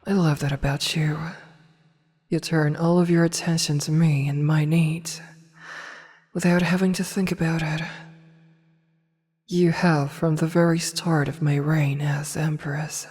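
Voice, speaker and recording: A woman playing an imperious evil empress in a fantasy ASMR roleplay, with haughty, formal, measured speech and intimate delivery. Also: low voice, level tone, a little mellow, breathy edge. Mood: fond, inviting. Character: imperious evil empress, adult woman, softening toward her advisor. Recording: long reverberant hall, faint noise.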